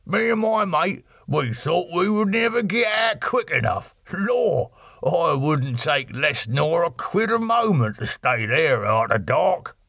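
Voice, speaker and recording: Rough Cockney carter, garrulous and exclamatory, pitched well up, close dry recording. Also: male voice, sing-song, slow, a bit bright, no echo, exaggerated voice. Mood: spooked, exclaiming. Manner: colloquial, exclamatory, complaining. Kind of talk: audiobook character dialogue.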